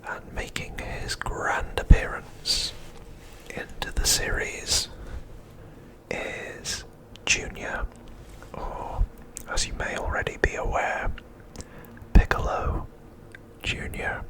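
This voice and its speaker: An ASMR host giving an unscripted show-and-tell commentary in a breathy, unvoiced whisper, hushed and unhurried. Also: low-quality recording.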